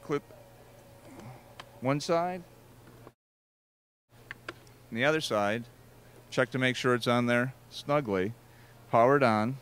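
A man's voice: mid-range voice, slow pace, pauses often, a bit bright. Male product rep demonstrating over faint noise. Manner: explanatory, step-by-step instruction. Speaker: company product representative. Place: exhibition booth at an EMS trade show.

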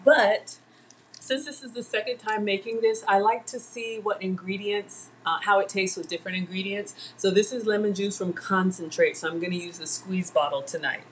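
A woman's voice: mid-range voice, fairly even intonation, moderate pace, a little hiss or hum, low-quality recording. A middle-aged woman hosting a casual live cooking demo from her home kitchen, chatting with viewers as she works. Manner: chatty, talks to viewers and names commenters. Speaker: home cooking livestream host. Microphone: fixed camera at counter distance.

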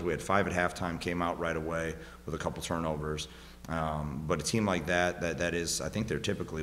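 A man in his thirties, a head coach, answering postgame questions at a press conference, emphatic and rising on his key points, into a table mic. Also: extremely low pitch. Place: press room, seated at a table. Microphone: table microphone on a stand. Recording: reverberant room with faint hum.

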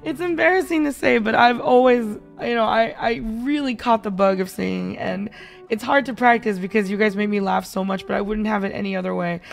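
A woman streamer with a hoarse voice, singing a ballad and then chatting with viewers in a bubbly, giggly way. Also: medium pitch, brisk. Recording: faint piano backing music. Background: soft piano backing during the song.

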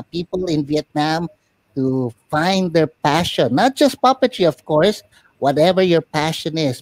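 Higher-voiced male host, dry close sound. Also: no room sound, low-quality recording. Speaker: talk show host. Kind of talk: casual interview hosting.

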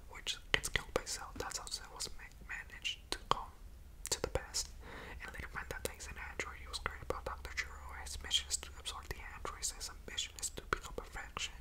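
A young adult man whispers into a close desktop microphone in a casual ASMR style. His voice is all breath, with no full tone behind it. He rambles loosely through a recap in slangy, informal language. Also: rough recording. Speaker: ASMR video creator reviewing a show. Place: bedroom at home, indoors. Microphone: large desktop USB condenser mic, close up.

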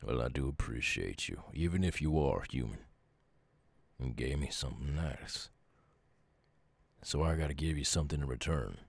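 A man playing a gruff, lonely but gentle werewolf with a Southern US accent in an ASMR roleplay, speaking softly and haltingly. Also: animated, dry recording, exaggerated voice. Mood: grateful, earnest. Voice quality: gravelly, very deep, husky.

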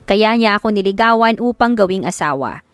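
A woman's voice: mid-range voice, expressive, fairly quick, dry recording. A woman with a Filipino accent reading a scripted first-person story as an audiobook narrator.

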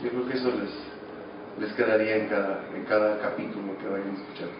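A Mexican man in his thirties answering interview questions casually and haltingly, searching for words. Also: lowish voice, steady tone. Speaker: actor being interviewed. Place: small office indoors. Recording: echoey, muffled, noisy, distant microphone.

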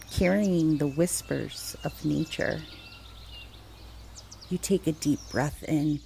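A woman leading a guided meditation as host, speaking soothingly in short, deliberate phrases with long pauses. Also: deep voice, creaky, mellow, a little hiss or hum. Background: music.

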